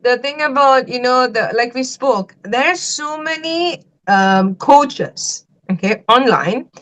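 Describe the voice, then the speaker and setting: Sri lankan-raised woman hosting a podcast, slightly high voice, lots of likes and y'alls. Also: lively intonation, takes it slowly, a bit bright, low-quality recording. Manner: chatty, lots of "like" and "y'all", emphatic asides. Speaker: podcast host. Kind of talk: casual podcast chat.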